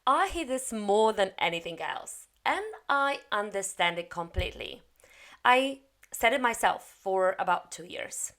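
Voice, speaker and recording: A woman's voice: mid-range voice, expressive, a little slow, a bit bright. Woman coaching English learners. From Poland. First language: Polish. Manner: encouraging, direct, practical. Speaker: English coach and TEFL teacher. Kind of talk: spoken lesson to camera.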